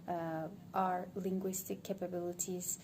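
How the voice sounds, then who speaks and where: A woman from Turkey with a Turkish accent, a native Turkish speaker, giving an unscripted monologue for social media and thinking aloud, hesitant, with many pauses. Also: lowish voice, level tone. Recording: background ambient noise.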